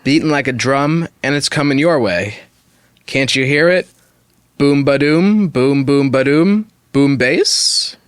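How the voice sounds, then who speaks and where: A man's voice: mid-range voice, slow pace, clean tone, no room sound, clean studio sound, close to an everyday voice. A man playing an overdramatic actor giving a mock dramatic reading of pop lyrics on a comedy podcast. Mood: theatrical. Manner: theatrical.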